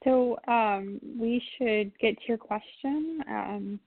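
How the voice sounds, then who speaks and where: A woman in her forties, a psychic medium guest giving live call-in readings in a chatty way, often pausing on fillers. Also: fairly high pitch, dry recording. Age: 40s. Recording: tinny phone line.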